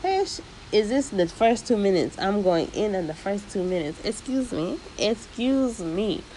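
A young woman hosting her own podcast, talking casually and lively and dropping Swahili slang into her speech. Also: medium pitch, a little slow, slight background noise, rough recording. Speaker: podcast host. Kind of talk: casual solo podcast monologue. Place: living in the United States.

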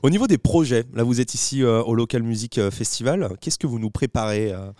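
A man's voice: medium pitch, expressive, brisk, dark tone, dry recording, studio quality. A man interviewing a music duo for radio, asking questions with frequent hesitations. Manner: hesitant, many euh and restarts. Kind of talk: casual interview. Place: festival.

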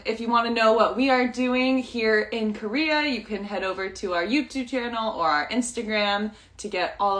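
Woman podcast co-host; bright, slightly high voice, upbeat and playful. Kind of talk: casual podcast intro.

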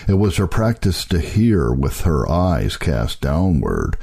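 Deep, husky man reading solemnly. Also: huge pitch swings, slow, warm tone. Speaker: narrator reading historical religious texts. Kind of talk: solemn audiobook-style reading of an old religious text.

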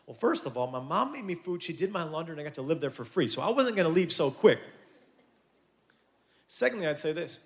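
A man in his thirties, a youth pastor, giving a lively, conversational sermon to young people with quick asides. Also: fairly high pitch. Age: 30s. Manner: animated, conversational preaching with asides.

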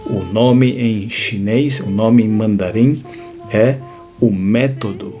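A man hosting an educational series, explaining ideas in a measured lecture with deliberate, emphatic repetitions. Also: lowish voice, darkish tone. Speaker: host of an educational series on strategy.

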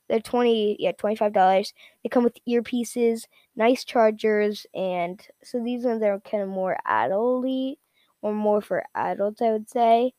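Halting, higher-voiced woman talking casually. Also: darkish tone, no room sound. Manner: halting, repetitive, informal. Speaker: product reviewer. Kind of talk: casual, unscripted product rundown.

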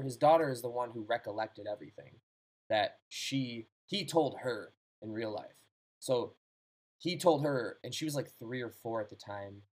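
A man's voice: medium pitch, a little mellow, low-quality recording. A man in casual chat, telling short ghost stories in a loose, jokey way. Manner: loose, jokey, swears freely. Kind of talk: casual podcast chat, storytelling.

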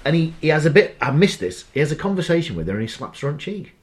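A man's voice: on the high side, very animated, quick pace. A man in casual podcast chat, talking freely and swearing now and then. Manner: chatty, swears freely, acts out quotes. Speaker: podcast co-host. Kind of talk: casual chat recapping a TV episode.

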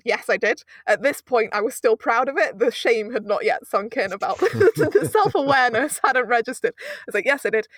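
Young woman, from Britain, audio producer, animated, laughs often, dry recording. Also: fairly high pitch, no room sound. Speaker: audio drama producer, guest. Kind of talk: casual interview storytelling.

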